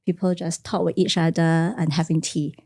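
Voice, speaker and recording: Woman from china, first language teochew, low-ish voice, talks softly and thoughtfully in a studio interview. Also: flat delivery, normal speed. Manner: soft-spoken and reflective, simple phrasing with small grammar slips. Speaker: guest, career coach. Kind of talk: podcast interview, personal storytelling.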